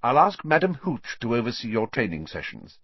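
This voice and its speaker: A man reading a storybook, voicing a stern but concerned female professor in a measured, formal manner. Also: medium pitch, wildly swooping pitch, breathy edge, dry recording, muffled recording, pitched above the performer's own voice, full character voice. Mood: reluctantly conceding.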